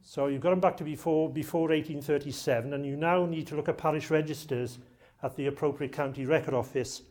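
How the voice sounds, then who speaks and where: A man's voice: on the high side, fairly even intonation, brisk, darkish tone. Chatty Welsh man giving a lecture. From South Wales. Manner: chatty and jokey, with audience banter. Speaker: archive lecturer. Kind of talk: public talk to a live audience. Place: lecture room.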